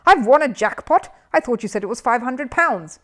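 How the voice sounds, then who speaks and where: A woman's voice: on the high side, lively intonation, normal speed, pitched above the performer's own voice, natural voice. A woman voicing a polite, earnest small male bear in a children's storybook reading. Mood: indignant.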